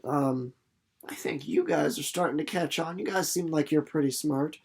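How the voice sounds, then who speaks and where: A man giving casual commentary on a book as a teacher, informal and a little halting as he thinks aloud. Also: fairly high pitch, creaky, darkish tone, husky. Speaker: reader and teacher. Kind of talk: storybook narration and casual literary commentary for students.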